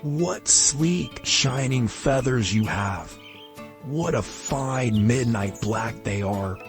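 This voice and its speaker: A sly, hungry male fox from a children's storybook, played by a woman, speaking slowly in a lowered voice over clear music. He flatters and wheedles, drawing out his smooth talk. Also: exaggerated voice. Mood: flattering, wheedling. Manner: smooth-talking, wheedling, drawn-out delivery. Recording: music behind the voice.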